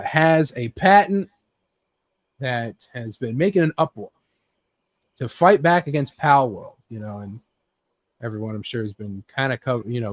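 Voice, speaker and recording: A man with a mid-pitched voice leads a loose geek-culture chat in a dry, close recording. He rambles, swears freely and slips into acting out small imagined scenes. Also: no room sound. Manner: rambling, acts out imagined scenes with sound effects. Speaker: host leading a group chat about games and films.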